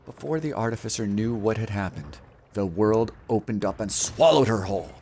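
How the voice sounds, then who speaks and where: A man's voice: lowish voice, animated, moderate pace, dark tone, a little hiss or hum. A man delivering scripted mock-epic narration about a video game in a grandiose, theatrical, mock-solemn manner. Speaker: video storyteller and gamer.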